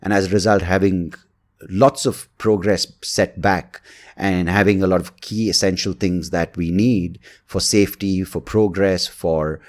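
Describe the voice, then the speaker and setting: A male podcast host with a low voice speaks casually and without a script. He rambles and hesitates, working out his thoughts as he goes. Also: fairly even intonation, unhurried. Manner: rambling, hesitant, thinking aloud. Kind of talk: casual, unscripted podcast monologue and chat.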